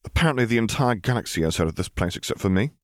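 A man's voice: fairly low pitch, expressive, rapid-fire, dry recording, voice pushed up, lightly acted. An older male admiral, as voiced by a male storybook reader, courteous and reserved. He speaks formally and a little hesitantly, with polite bemusement, in a close, dry recording.

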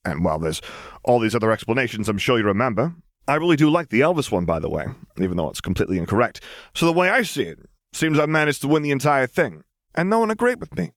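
A cheerful, self-satisfied male vampire secretary in a read-aloud story , as a man puts him on. He speaks noticeably higher than the reader's own voice. He teases and gloats in a light, pleased way. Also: big pitch swings, fast talker, no echo, studio quality. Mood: gloating. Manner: pleased with himself, teasing.

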